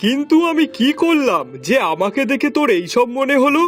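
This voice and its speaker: A man's voice: very high-pitched, big pitch swings, normal speed, fairly bright tone, exaggerated voice. A man voicing a bossy but kindly master in a cartoon, talking in a familiar, teasing, colloquial way to his servant. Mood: curious, approving. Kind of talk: cartoon story dialogue. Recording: music behind the voice.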